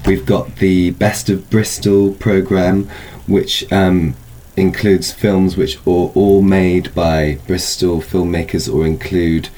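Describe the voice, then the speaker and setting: Low-voiced man explaining, with background noise. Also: flat delivery, slow pace, warm tone, poor audio. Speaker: film festival organiser and interview guest. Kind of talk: conversational radio interview, explaining a programme.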